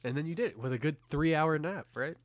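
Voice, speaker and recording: A man's voice: fairly high pitch, fast talker, dry recording. Teasing young man in casual banter. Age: young adult. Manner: animated, teasing, acts out quotes. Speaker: podcast co-host.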